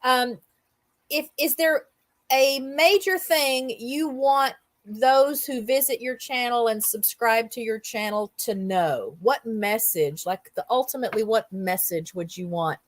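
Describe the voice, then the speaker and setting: Lady host on a livestream, kinda high voice, casual interview chat. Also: measured pace.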